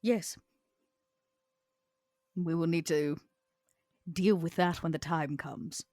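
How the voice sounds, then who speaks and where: A woman's voice: low-pitched, slow, darkish tone, no echo. A woman playing a proud, scornful old vampire in a tabletop roleplay, with haughty, theatrical, formal phrasing. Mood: cool, firm. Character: proud, scornful old female vampire named Vera, elegant and ambitious.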